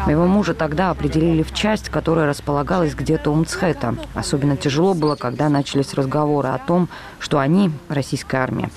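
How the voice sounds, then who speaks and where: A man calmly reading a translated news voice-over. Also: fairly high pitch.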